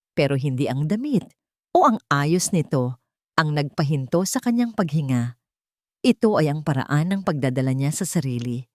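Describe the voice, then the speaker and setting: Woman, Filipino accent, deep-pitched, romance story narration, dry recording. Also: a little mellow, no echo.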